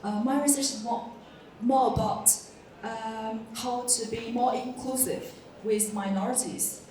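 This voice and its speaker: A woman's voice: on the high side, steady tone, a little slow, warm tone. A woman in her thirties from China, a doctoral student introducing herself on a panel in a friendly, joking and slightly nervous way. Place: large conference hall. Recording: echoey hall, distant mic, some noise.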